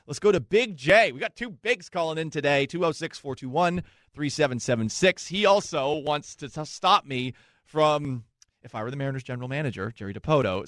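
A man's voice: mid-range voice, a bit bright, dry recording, studio quality. A young man hosting sports talk radio in a chatty, self-mocking way.

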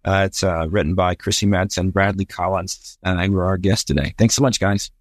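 A man's voice: low voice, steady tone, a little fast. A man hosting a podcast interview in a conversational way.

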